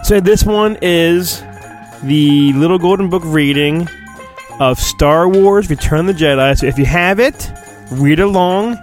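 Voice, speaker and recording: A man's voice: a little high, measured pace. A middle-aged American man reading a storybook dramatically and breaking in with informal, wisecracking asides, recorded on his phone. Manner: informal, wisecracking, self-interrupting. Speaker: podcast host. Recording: homemade phone recording, roomy echo, faint music.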